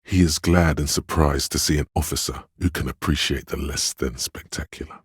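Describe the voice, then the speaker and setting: A man voicing a gentle, perceptive inner voice in a video game, narrating others' feelings in a measured, hushed, solemn and compassionate way. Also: a bass voice, fairly even intonation, slightly rough, no room sound, clean studio sound, full character voice. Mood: solemn, sorrowful. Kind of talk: scripted game narration, intimate inner monologue.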